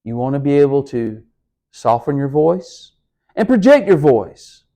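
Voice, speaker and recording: A man's voice: mid-range voice, very animated, unhurried, dark tone. A man lecturing his class as a speech instructor, talking in an encouraging, conversational way straight to the students. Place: room with a lectern.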